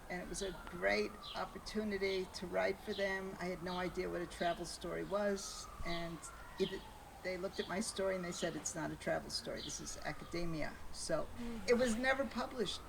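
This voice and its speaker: A woman's voice: medium pitch, creaky. A woman from New York with a New York accent, telling the story of her life at a book launch with wry, chatty jokes. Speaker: author. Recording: distant mic, noisy room.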